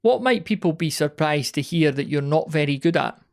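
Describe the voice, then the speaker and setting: A man's voice: on the high side, animated, normal speed, creaky. A man reading out prepared questions as a podcast interviewer.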